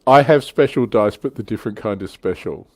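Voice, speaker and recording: Low-voiced man hosting a casual panel. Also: a little fast, no echo. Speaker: livestream panel host. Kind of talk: casual hobby discussion.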